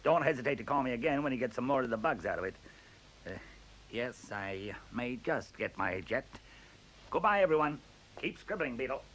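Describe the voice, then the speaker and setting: Arrogant prof in a radio drama, precise, brusque, faint hiss behind. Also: male voice, mid-range voice, sing-song, normal speed, fairly bright tone, poor audio. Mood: dismissive, jaunty. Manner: pompous, precise, dismissive. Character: brusque, sceptical, arrogant male professor. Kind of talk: radio play acting.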